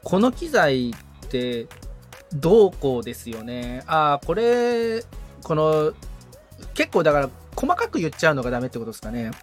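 A man in a casual consultation, asking tentative questions as a beginner and often chiming in with quick acknowledgements. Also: a little high, very animated, soft background music.

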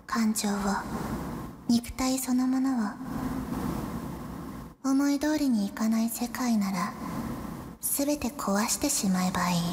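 A woman acting as a sensitive, lonely, brooding high school girl in a radio drama, with reserved and ladylike phrasing. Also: noisy background.

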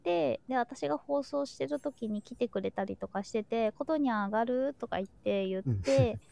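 Creaky, higher-voiced woman chatting. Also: moderate pace, dark tone, dry recording. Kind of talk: casual chat, storytelling.

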